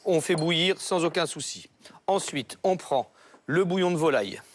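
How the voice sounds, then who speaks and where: A man's voice: on the high side, animated, normal speed. A man demonstrating a recipe as a chef, giving step-by-step instructions and checking he is understood. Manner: instructive, checking in with short tags. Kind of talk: cooking demonstration. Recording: music behind the voice. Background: background music.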